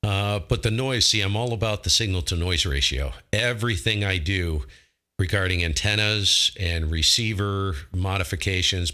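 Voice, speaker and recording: A man's voice: low-pitched, sharp tone. A man chatting casually as a ham radio operator, enthusiastic and full of colorful slang.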